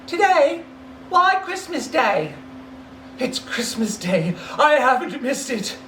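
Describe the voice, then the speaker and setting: A man voicing an excitable old miser, theatrical and exclamatory, with drawn-out words in a storybook reading. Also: very high-pitched, voice pushed up, heavily acted. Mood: giddy joy. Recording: roomy echo, distant mic, faint noise.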